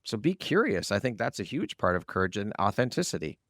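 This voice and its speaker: A man with a deep voice hosts an interview podcast. He speaks conversationally, and the sound is dry, with very little room in it. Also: very animated, fairly quick, a bit bright, no room sound. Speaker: podcast host.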